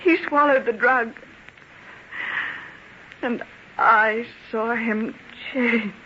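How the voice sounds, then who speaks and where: An actress playing a distraught, pleading gentlewoman in an old-time radio melodrama, her voice tearful and breaking. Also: on the high side, full character voice. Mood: desperate. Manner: slow, fervent, melodramatic pleading. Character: distraught, pleading gentlewoman in love with a doomed man. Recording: dull, hissy old radio recording.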